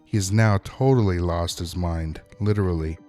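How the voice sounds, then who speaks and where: A man's voice: deep voice, moderate pace, music in the background. A man hosting a scripted recap and review of a TV episode, narrating in a wry, opinionated way. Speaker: host of a TV episode recap show.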